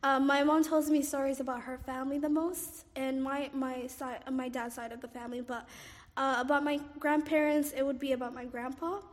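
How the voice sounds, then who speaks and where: A woman's voice: high-pitched, level tone, moderate pace, long reverb tail. A woman in her twenties, born in Somalia and raised in Cairo, being interviewed for an oral history and recalling her childhood with many "um"s and "like"s. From Somalia, raised in Cairo, Egypt. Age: 20s. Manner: hesitant, frequent "um" and "like", soft laughs. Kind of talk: casual personal recollection.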